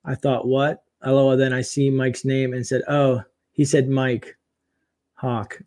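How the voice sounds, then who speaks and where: A man hosting a late-night livestream, his voice sitting at an ordinary middle pitch. He talks loosely and chattily, with lots of fillers, and reads viewer comments aloud. His voice is going a little hoarse. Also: flat delivery, measured pace, darkish tone. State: voice getting hoarse. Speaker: livestream host.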